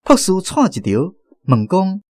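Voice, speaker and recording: A man's voice: high-pitched, wildly swooping pitch, unhurried, darkish tone, no echo, studio quality. A man with a Taiwanese accent narrating a radio drama as a storyteller.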